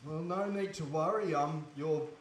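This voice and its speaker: A man's voice: on the high side, unhurried, lightly acted. A man playing a sceptical, grumbling poor fisherman in a comic audio story, bemused and easily exasperated, with room echo. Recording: roomy echo, faint noise.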